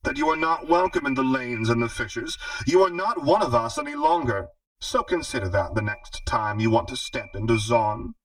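Guy doing a smug villainess for an audiobook, drawly and mocking, pitch all over, bit distant from the mic. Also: sing-song, normal speed, no echo. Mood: menacing, condescending. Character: smug, menacing female crime boss, condescending and theatrical. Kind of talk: audiobook character dialogue.

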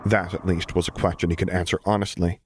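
A man reading fiction as an audiobook narrator, in an expressive scripted storytelling style. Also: low voice, fast, noisy background.

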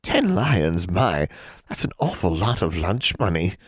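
Sly mocking old hag lender, man doing the voice, lively swooping cackle, dry close sound. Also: sits low, husky, dry recording, heavily acted. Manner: cackling, teasing, drawn-out.

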